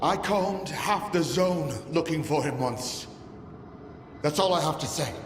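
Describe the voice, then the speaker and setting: An actor playing a taunting, zealous cult leader in a video game cutscene, preaching and mocking in a theatrical way. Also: male voice, on the high side, measured pace, noisy background, close to an everyday voice. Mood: smug, coaxing. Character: zealous, taunting male cult leader of a post-apocalyptic zone. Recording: echoey hall, music behind.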